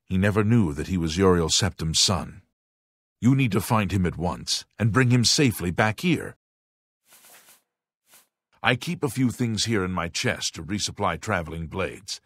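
A man playing a grave aging monk and former guard captain in a fantasy video game, speaking formally and deliberately with a husky voice. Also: low-pitched, animated, fairly bright tone, dry recording, studio quality, heavily acted. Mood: grave, helpful. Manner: formal, solemn, deliberate enunciation.

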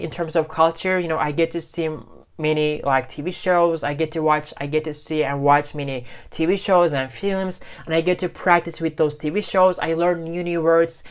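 A man's voice: on the high side, smooth. A young man in his twenties with a Turkish accent, chatting animatedly to camera about learning English, full of fillers like "you know" and "like". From Turkey. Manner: animated, gestures with both hands, loose filler-heavy phrasing. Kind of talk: casual talk to camera. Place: small bedroom at home.

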